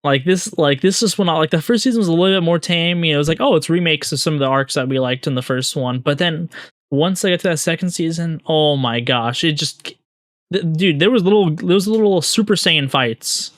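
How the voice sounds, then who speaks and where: Higher-voiced man in slangy casual chat. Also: no echo, studio quality. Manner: slangy, halting starts, exclamatory. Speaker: podcast co-host. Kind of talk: casual chat, recommending anime.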